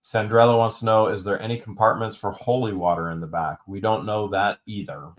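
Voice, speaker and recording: A man's voice: lowish voice, fairly even intonation, a little fast, soft background music. A man hosting a live-stream auction, calling out bids and reading viewer comments with dry jokes. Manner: wisecracking, repeats bids, drawls some phrases.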